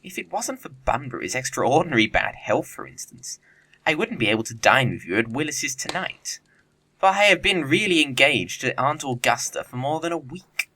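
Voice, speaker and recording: A man's voice: medium pitch, lively intonation, normal speed, bright, forceful tone, slightly rough. A man playing a flippant, witty young upper-class bachelor in a period comedy audio play, with an arch, theatrical delivery. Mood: smug, witty.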